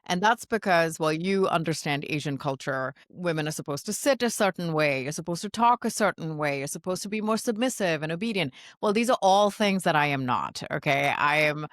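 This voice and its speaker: A woman from India telling her story casually as a podcast guest, in a chatty, animated manner. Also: low voice, brisk, few pauses, no echo, studio quality. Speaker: podcast guest, consultancy founder.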